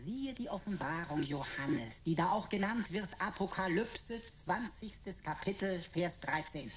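A woman's voice: fairly low pitch, animated, measured pace, crowd noise. A woman playing an eccentric, chattering computer sidekick in a radio play, talking in pompous, florid, archaic phrases with mocking sarcasm. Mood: theatrical, triumphant.

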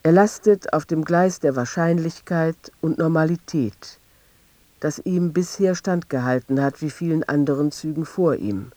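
A man reading scripted literary narration in a radio drama, measured and precise. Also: on the high side, darkish tone, no room sound.

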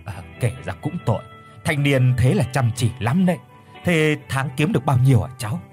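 A man's voice: mid-range voice, expressive, moderate pace, soft background music, natural voice. Man doing a jovial middle-aged dad. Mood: genial. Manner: jovial, soothing. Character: genial middle-aged father, easygoing.

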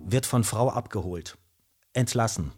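An actor playing a clinician reading patient notes in clipped, husky phrases over music in a radio play. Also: male voice, fairly low pitch, measured pace, lightly acted. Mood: detached. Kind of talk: scripted record reading.

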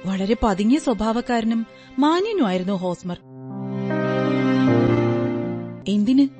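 A woman's voice: fairly high pitch, darkish tone, lightly acted. A woman acting in a radio drama as an earnest, trusting young client, politely recounting her story in detail. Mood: fond, earnest. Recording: radio drama with background music. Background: music.

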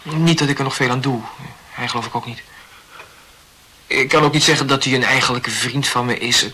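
A man's voice: medium pitch, steady tone, fairly quick, sharp tone, a little hiss or hum, close to an everyday voice. An actor playing an awkward, earnest young man who talks hesitantly and corrects himself in a radio play. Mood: guarded.

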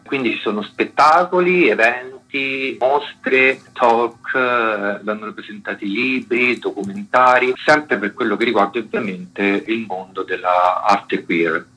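A man's voice: lowish voice, sharp tone, husky, rough recording. A man explaining a project as a festival organiser interviewed on the radio.

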